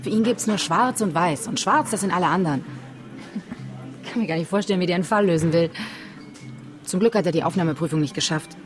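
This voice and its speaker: An actress playing a blunt, scornful sister in a naturalistic radio crime drama, dry and dismissive. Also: female voice, fairly low pitch, fairly quick, long pauses, over music, natural voice. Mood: dry, disdainful. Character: blunt, scornful sister, unimpressed by her brother.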